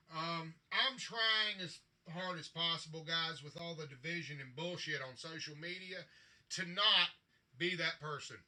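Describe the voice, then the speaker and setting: Male hobby vlogger, somewhat high-pitched and very sharp-edged, rambling, blunt, foul-mouthed, addressing viewers informally. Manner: blunt, salty, frequent swearing. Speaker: tabletop gaming hobbyist and vlogger. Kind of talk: casual rambling vlog talk to viewers.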